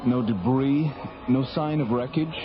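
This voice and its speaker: An actor playing a businesslike space-station controller, terse and procedural, in a radio science fiction drama. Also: male voice, mid-range voice, measured pace, lightly acted. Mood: businesslike, concerned. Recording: dull vintage radio recording, music behind.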